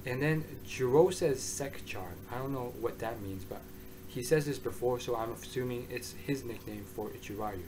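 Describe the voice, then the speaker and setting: A man with a mid-range voice talks through a review in a loose, excitable way, circling back and restarting his thoughts. His voice is hoarse. He sounds like a regular host speaking straight to his viewers. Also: mid-range voice, normal speed, background noise. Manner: excitable, rambling, with many restarts. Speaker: manga reviewer. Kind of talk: casual review monologue.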